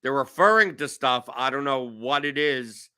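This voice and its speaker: A man's voice: medium pitch, lively intonation, moderate pace, sharp tone, smooth. A man in his forties from New York, hosting a casual show in a rambling, self-mocking way with lots of restarts. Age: 40s. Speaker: show host. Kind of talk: casual chat.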